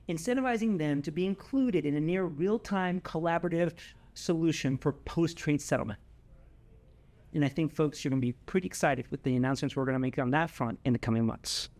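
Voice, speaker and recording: A man's voice: on the high side, a little mellow. A man presenting a scripted product announcement as a company presenter, emphatic and given to repeating key phrases.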